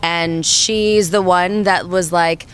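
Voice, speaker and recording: Raspy young woman guitarist chatting. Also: lowish voice, steady tone, slow, slight background noise. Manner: casual, laughing easily, chatty. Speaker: rhythm guitarist in a band. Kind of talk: casual interview chat.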